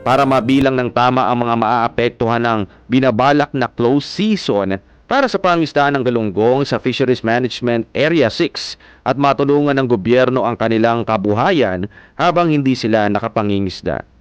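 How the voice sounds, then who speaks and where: A man's voice: mid-range voice, moderate pace, clean tone, dry recording, muffled recording, natural voice. An actor playing an earnest fisherman, narrating his own story in a scripted, explanatory way. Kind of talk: scripted first-person narration.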